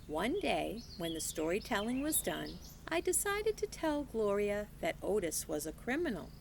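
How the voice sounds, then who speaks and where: A woman teacher reading a children's novel aloud in a slow, expressive storytelling manner. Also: mid-range voice, vocal fry, a little hiss or hum.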